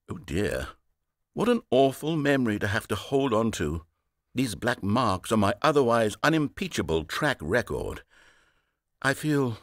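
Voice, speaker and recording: An actor voicing a fussy, self-important male game narrator with a slightly husky voice, dry and sarcastic. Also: fairly low pitch, no room sound. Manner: dry sarcastic, theatrical swings from indignant to wounded. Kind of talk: scripted video game narration. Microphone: close studio mic. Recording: dry studio recording.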